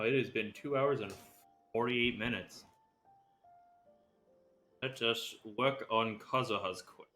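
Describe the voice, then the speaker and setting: A man's voice: on the low side, bright, forceful tone. A man doing casual livestream game commentary with wry asides, sometimes reading dialogue aloud. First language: English. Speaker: game streamer.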